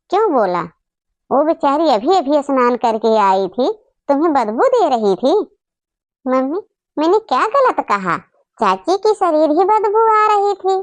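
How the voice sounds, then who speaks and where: A woman's voice: high-pitched, no echo. A woman performing cartoon story dialogue in a dramatic, scolding family-drama manner.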